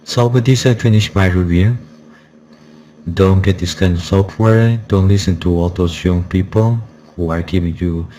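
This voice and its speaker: A man's voice: low-pitched, normal speed, mellow. A man giving a casual, insistent spoken review, his voice run through a voice changer. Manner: insistent, repetitive, warning listeners. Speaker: software reviewer. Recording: background noise.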